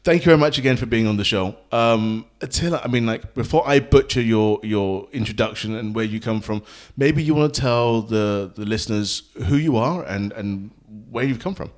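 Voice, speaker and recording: Fields: Sex: man. Role: podcast host. Pitch: low. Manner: chatty, joking. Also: moderate pace, few pauses. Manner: chatty, joking, many fillers and restarts. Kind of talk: casual interview chat.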